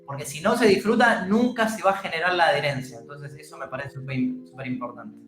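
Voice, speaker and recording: Argentine male guest, upbeat and encouraging, slightly high voice, roomy call audio. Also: fast, fairly bright tone, faint music behind. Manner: enthusiastic, encouraging. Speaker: calisthenics instructor guest. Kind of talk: casual interview chat. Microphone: video call.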